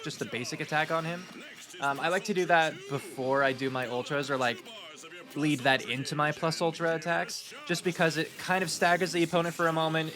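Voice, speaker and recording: A man with a stuffy nose giving relaxed, rambling commentary on his speedrun, with lots of ums. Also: a little high. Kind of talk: casual post-run gameplay commentary.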